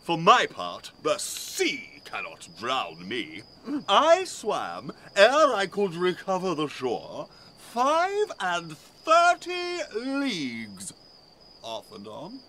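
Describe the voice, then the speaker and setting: A man's voice: fairly high pitch, huge pitch swings, drawn-out words, sharp tone, slight background noise, heavily acted. An actor playing a drunken, pompous man lording it over others in a Shakespearean comedy. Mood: drunken, bossy. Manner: pompous, commanding. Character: drunken, swaggering, bossy self-made island lord. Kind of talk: Shakespearean comic acting.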